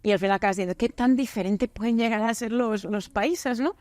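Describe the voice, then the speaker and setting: A woman's voice: on the high side, fairly quick, a little mellow, no echo. A woman from Spain, a business unit director, talking as a podcast guest in a friendly, candid, conversational way in a studio. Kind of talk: casual interview chat.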